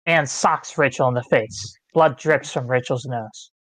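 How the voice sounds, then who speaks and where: A man's voice: mid-range voice, measured pace, a bit bright, no room sound. A man reading stage directions as narrator at a screenplay table read.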